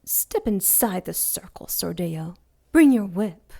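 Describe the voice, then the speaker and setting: A woman's voice: mid-range voice, lively intonation, slow pace, creaky, dark tone, full character voice. Wicked demon woman, mid-range voice, defiant, mocking, proud, audio drama. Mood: taunting. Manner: sardonic, taunting.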